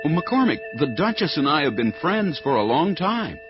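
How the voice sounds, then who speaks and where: A man's voice: fairly high pitch, sing-song, moderate pace, over music. A man playing an assured, easygoing officer in a sci-fi radio drama. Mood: confident. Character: confident, easygoing newcomer colonel. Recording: dull lo-fi radio play.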